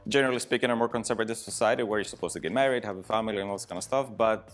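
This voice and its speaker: A man's voice: fairly low pitch, rapid-fire. A man from Greece, talking casually in a group discussion. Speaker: panel participant. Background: music.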